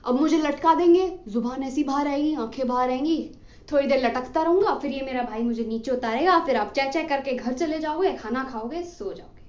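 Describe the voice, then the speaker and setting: A woman's voice: high voice, moderate pace, a little mellow, natural voice. A woman acting a bitter, condemned male revolutionary in a theatrical monologue, scolding an apathetic crowd with impassioned scorn. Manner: scornful, impassioned, declamatory with sarcastic commands and rhetorical questions. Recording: muffled lo-fi echoey room recording.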